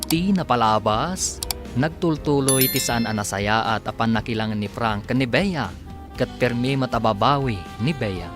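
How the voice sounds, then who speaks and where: A man's voice: mid-range voice, a little slow. A man reading scripted narration as the announcer of a radio drama. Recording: narration over loud background music. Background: music.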